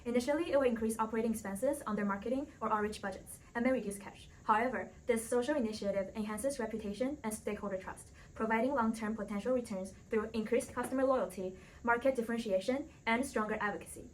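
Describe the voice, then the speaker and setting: Brisk formal woman reading financial arguments. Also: a little high, slight background noise, rough recording. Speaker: debate panelist playing an executive.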